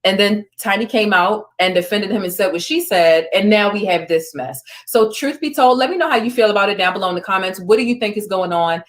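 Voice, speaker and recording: A woman's voice: mid-range voice, a little fast, hardly any pauses. A woman hosting an online news stream, reading screenshots aloud and commenting in a conversational, informal way. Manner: conversational, informal, with asides and reactions.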